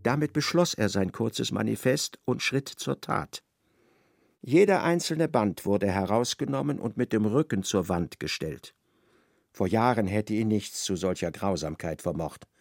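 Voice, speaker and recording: A man narrating a literary radio play in an expressive, measured storytelling manner. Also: fairly low pitch, vocal fry, no room sound, studio quality, exaggerated voice.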